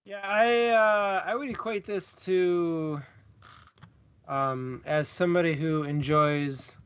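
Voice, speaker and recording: Guy talking books real slow n halting, lighter pitched voice, bit muffled audio. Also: fairly even intonation, fairly bright tone, clean tone. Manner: halting, thinks aloud in short phrases. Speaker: book club show co-host. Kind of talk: casual book discussion chat.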